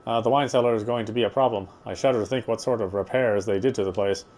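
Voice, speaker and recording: A man's voice: fairly low pitch, fairly even intonation, fast, a little mellow. A man narrating a visual novel as he plays it, reading the prose aloud with casual asides.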